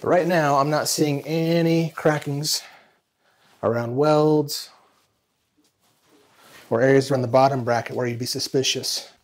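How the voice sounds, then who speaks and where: A middle-aged man talks through a bike frame repair in a calm, measured voice. His pitch sits at an ordinary middle level, and he explains each step carefully, like a host guiding viewers around his workshop.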